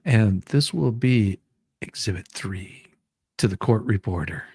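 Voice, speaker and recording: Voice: sits low, animated, measured pace, a little mellow, slightly rough, no echo, clean studio sound. A show host who reads out a deposition transcript dramatically, mixing it with casual banter and easily breaking into giggles. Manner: giggly, easily cracks up between read lines.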